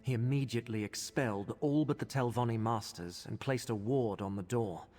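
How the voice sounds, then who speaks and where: A man voicing a polite, earnest curate in a fantasy video game, speaking in a measured way. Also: mid-range voice, dark tone, soft background music, close to an everyday voice. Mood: worried. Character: courteous, earnest male curate in a fantasy world.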